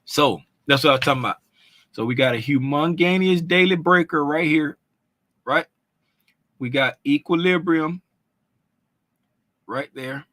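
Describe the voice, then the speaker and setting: A man's voice: on the high side, a little slow, long pauses, fairly bright tone, no echo. A man explaining trading charts in a casual, conversational way, often checking in with "right?" and "you know what I'm saying?". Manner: loose, informal phrasing with restarts. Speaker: trading educator.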